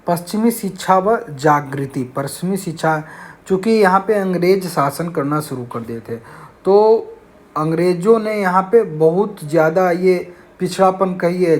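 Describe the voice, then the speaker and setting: A man teaching an exam-prep lesson, reading out questions and explaining answers in a didactic, repetitive way. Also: a little high. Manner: didactic, repeats key phrases.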